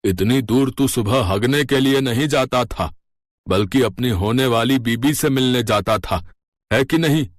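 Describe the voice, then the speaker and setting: A man's voice: medium pitch, unhurried, dry recording, clean studio sound, close to an everyday voice. A man voicing a skeptical, thrifty beggar father in a cartoon story. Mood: knowing.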